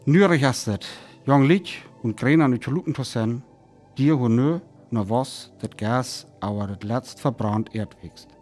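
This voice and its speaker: A man's voice: medium pitch, a little slow, close to an everyday voice. An actor with a North Frisian accent playing a reflective male survivor narrating his account of a Martian war in a solemn radio play style. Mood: wistful. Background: soft music.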